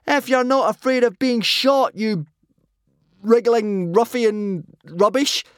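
A man's voice: very high voice, takes it slowly, clear, resonant tone, dry recording, clean studio sound, pitched above the performer's own voice. A man voicing a plucky, anxious young Viking boy with a sarcastic streak. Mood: defiant yelling.

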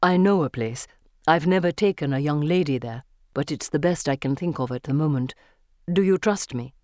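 A woman's voice: low-pitched, normal speed, a little mellow, dry recording, clean studio sound, pitched below the performer's own voice, natural voice. A woman voicing a guarded, streetwise and protective young man in an audiobook, terse and assured. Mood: earnest.

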